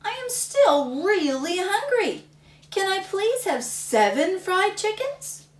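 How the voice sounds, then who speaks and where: A woman's voice: very high voice, sing-song, slow, pitched above the performer's own voice, heavily acted. A woman voicing a ravenous little boy who keeps begging for more food, in a pleading, whiny way. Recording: roomy, slightly distant mic.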